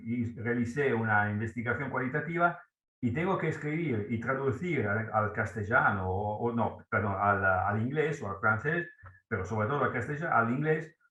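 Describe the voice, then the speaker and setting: Italian guy asking a question in spanish, bright sharp tone, echoey room, halting and searching for words. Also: mid-range voice. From Italy. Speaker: audience member asking a question. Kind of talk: question in a webinar.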